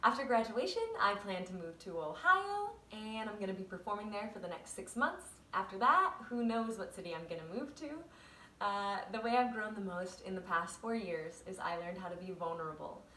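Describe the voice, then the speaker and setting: A woman's voice: mid-range voice, lively intonation, normal speed, pushed and bright, roomy sound, far from the mic, rough recording. A twenty-two-year-old woman introducing herself in a candid, upbeat way. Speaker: theater student.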